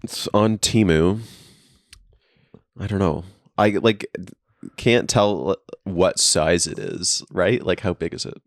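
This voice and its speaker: A man's voice: low-pitched, slow, long pauses, no echo, studio quality. A man co-hosting a podcast in casual chat, laid-back and ribbing, with lots of "like" fillers, recorded in a friend's basement. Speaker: podcast co-host.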